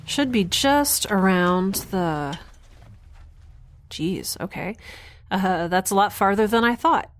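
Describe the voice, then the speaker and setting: A woman's voice: mid-range voice, animated, slow pace, pauses often. Casting: woman to act young female fugitive, sci-fi radio drama. Defiant, talkative, dry sarcasm, natural mid-range voice. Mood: casual, rambling. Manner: chatty, sarcastic, rambling asides. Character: defiant, wry young female rebel specimen on the run. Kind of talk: science fiction audio drama acting.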